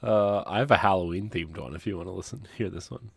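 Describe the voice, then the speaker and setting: A man's voice: low voice, fast talker, creaky, husky, no echo. A man co-hosting a podcast, reading aloud for comedy and chatting casually, chuckling through his words. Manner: chuckling, amused delivery. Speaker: podcast co-host.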